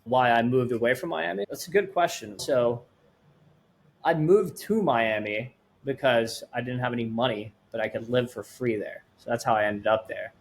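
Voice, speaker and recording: A man's voice: mid-range voice, moderate pace, a little hiss or hum. A man in his late twenties from Sweden with a light Swedish accent, answering interview questions as a startup founder in an earnest, rambling way. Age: late 20s.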